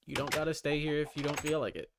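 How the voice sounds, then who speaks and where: A man's voice: a little high, fast, vocal fry, background noise. A man giving casual live gaming commentary, muttering to himself and chatting to viewers, often repeating his words. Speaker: game streamer.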